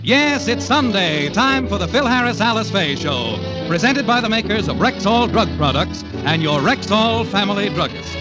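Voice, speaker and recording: A male announcer reads in classic 1940s radio style. His voice is high, with big swings of intonation, and loud music plays along on the vintage recording. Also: big pitch swings, moderate pace, few pauses, low-quality recording. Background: loud, clear music.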